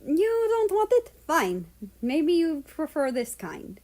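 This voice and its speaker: A woman reading the part of an impatient, gruff man scolding his cat in a storybook narration. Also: high-pitched, sing-song, slow, darkish tone, pitched above the performer's own voice, exaggerated voice. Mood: impatient coaxing. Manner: impatient, commanding. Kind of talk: fan fiction audiobook character voice.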